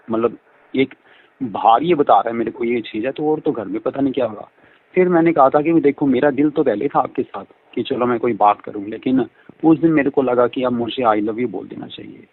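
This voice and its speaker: A man's voice: medium pitch, slightly rough. A man speaking effusively and repetitively in a flirtatious, talkative conversation over a phone line. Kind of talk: flirtatious phone conversation in Hindi mixed with English. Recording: band-limited phone call audio.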